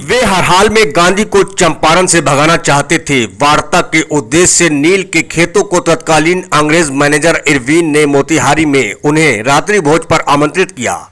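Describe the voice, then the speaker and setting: A man's voice: a little high, a bit bright. A man reading a scripted news feature as a reporter narrating the story.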